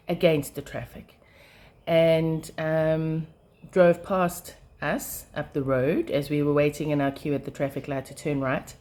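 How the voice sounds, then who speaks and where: A South African woman venting about her drive, with frequent throat clearing as she gets over a sinus attack. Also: on the low side, a little mellow. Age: adult mother of a schoolchild. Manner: frustrated, passionate, many fillers. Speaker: vlogger. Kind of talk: casual to-camera rant.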